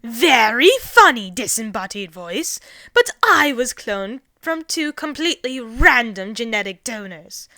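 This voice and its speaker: A woman voicing a loud, arrogant male alien invader in a story, indignant and excitable, given to bombastic shouting. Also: on the high side, measured pace, hardly any pauses, dry recording, studio quality, pitched above the performer's own voice, full character voice. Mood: scornful. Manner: shouting, bombastic, refers to himself in third person.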